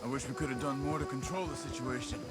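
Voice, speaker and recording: An actor playing a calm, loyal outlaw in a plain, serious, understated way for a video game drama. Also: male voice, mid-range voice, fast, loud music behind the voice, natural voice. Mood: grave. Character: calm, earnest, loyal male outlaw companion. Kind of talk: naturalistic game cutscene acting. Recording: clear outdoor noise, horse hooves.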